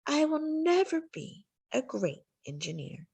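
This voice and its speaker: A woman plays a little girl inventor from a children's storybook. She is earnest and quick to lose heart, speaking slowly and dramatically with big swings in pitch. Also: very animated, gravelly, a little mellow, dry recording, heavily acted. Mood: dejected.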